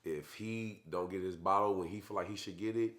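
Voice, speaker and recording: A young man and father chatting casually in a family vlog, hoarse from being sick, talking in a laid-back, slangy way. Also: low-pitched, fairly even intonation, brisk, a bit bright. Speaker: vlogger, father. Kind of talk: casual chat.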